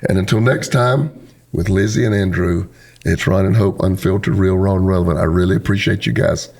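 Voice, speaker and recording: A middle-aged pastor, hoarse, hosting a casual talk show in an earnest, preacherly way. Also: male voice, sits low, brisk, darkish tone. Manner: earnest and preacherly, with homespun sayings. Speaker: pastor and podcast host. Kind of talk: casual conversation, closing a podcast episode.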